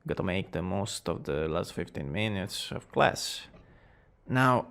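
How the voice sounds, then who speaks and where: Guy doing a smug teasing young villain for asmr romance, slow n drawly, bit of gravel in the voice. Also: low voice, animated, a bit bright, heavily acted.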